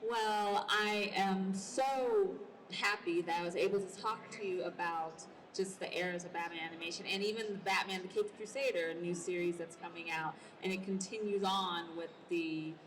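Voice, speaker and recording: A woman's voice: fairly low pitch, steady tone, moderate pace, fairly bright tone. A woman moderating a live convention panel as an enthusiastic fan, speaking to guests and audience. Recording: distant noisy convention hall microphone.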